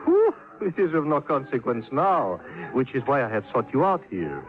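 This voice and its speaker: An actor playing a flamboyant, greedy, self-admiring count, theatrical and effusive, in old-time radio style. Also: male voice, mid-range voice, very animated, normal speed, natural voice. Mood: smug, breezy. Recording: muffled old radio recording, faint music.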